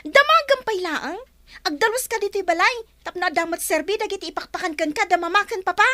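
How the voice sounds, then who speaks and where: Haughty bossy young cousin in a radio drama, really high sharp scolding voice. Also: female voice, animated, brisk, exaggerated voice. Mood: scornful, scolding.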